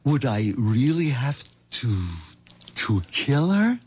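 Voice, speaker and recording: An actor playing a mild, hen-pecked middle-aged bank clerk turned nervous plotter, stammering and hesitant, in 1970s radio drama style. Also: male voice, mid-range voice, sing-song, slow pace, a little mellow, breathy edge, full character voice. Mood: hesitant, wistful. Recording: dull lo-fi 1970s radio recording.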